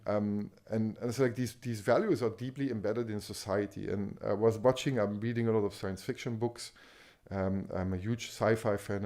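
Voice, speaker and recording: A man's voice: mid-range voice, dark tone. A middle-aged man from Belgium giving a talk on medical AI, hesitant, with many ums and restarts. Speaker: speaker on medical AI. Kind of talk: conference talk.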